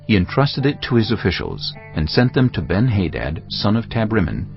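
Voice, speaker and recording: A man narrating scripture in a measured storytelling style for a dramatized audio Bible. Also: lowish voice, sing-song, lo-fi sound, music in the background.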